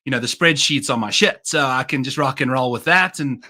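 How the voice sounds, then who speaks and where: A man's voice: mid-range voice, brisk, sharp tone. A man with a South African accent, a guest on a golf betting podcast, chatting casually with breezy, upbeat slang.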